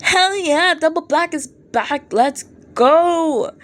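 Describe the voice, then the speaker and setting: A woman voicing excitable young music fans posting online, exclaiming in disbelief. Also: very high-pitched, slow, voice pushed up, heavily acted. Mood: thrilled. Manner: exclamatory, incredulous.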